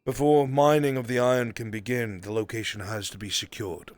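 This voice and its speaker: A man playing a proud, dutiful military lord in a fantasy roleplay, speaking in formal, measured, deferential phrases. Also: mid-range voice, fairly even intonation, a little mellow, dry recording, close to an everyday voice. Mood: formal, firm.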